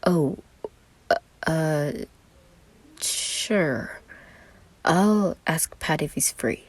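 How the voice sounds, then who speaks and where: A woman voicing a shy, soft-spoken young man in a fan fiction reading, halting and careful with words. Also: deep voice, expressive, heavily acted, rough recording. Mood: hesitant. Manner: small-voiced, halting, careful.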